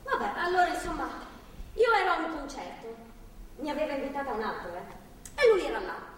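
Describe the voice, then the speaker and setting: Stubborn, bitter young woman held captive; high, animated stage voice in a reverberant hall. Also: brisk. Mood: rueful, candid. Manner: blunt, coarse, emphatic. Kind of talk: live stage drama dialogue. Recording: distant echoey theatre hall, faint noise.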